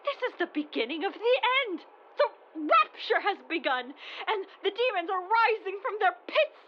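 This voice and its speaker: An actress playing a distraught, fanatical woman preacher in an audio drama, lamenting in halting, repetitive sermon phrases. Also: very high-pitched, animated, muffled recording, noisy background. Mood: doomsaying despair. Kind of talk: modern audio drama acting.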